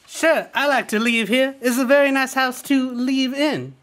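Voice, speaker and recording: A man's voice: very high voice, moderate pace, a bit bright. A man playing a cheerful, dim-witted sidekick in a radio play. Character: Ravelli, bumbling Italian-accented male sidekick.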